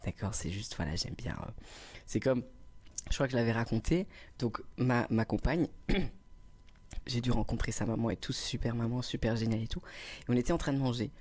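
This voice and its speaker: A young man hosting a casual livestream, answering chat and spinning cheeky, self-mocking stories. His voice sits at a middling pitch. It is picked up close on a small, inexpensive microphone. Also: a little fast, pauses often, darkish tone. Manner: playful, teasing, self-mocking storyteller. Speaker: livestreamer reading chat comments. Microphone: cheap small clip microphone.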